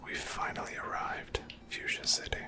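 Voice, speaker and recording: A person whispering softly and breathily, giving gentle, casual ASMR commentary while playing a video game. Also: normal speed. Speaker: host of a gameplay series.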